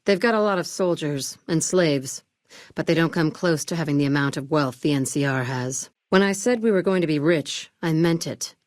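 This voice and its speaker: A woman acting in a video game as a shrewd, coolly controlled arms dealer and crime-family boss, speaking in a measured, polished, commanding way. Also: sits low, dry recording, studio quality, natural voice. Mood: smug, calculating. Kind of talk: scripted game dialogue acting.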